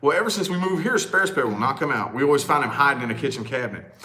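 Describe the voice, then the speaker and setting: A man with a loud, booming voice, talking in a casual, animated chat with exclamations and swearing. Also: fast talker. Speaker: vlog co-host. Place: large echoey house. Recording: echoey room.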